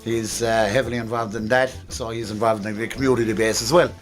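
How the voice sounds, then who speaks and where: A man's voice: on the low side, steady tone, a little fast, soft background music. A man with an Irish accent giving casual interview answers as an amateur drama actor.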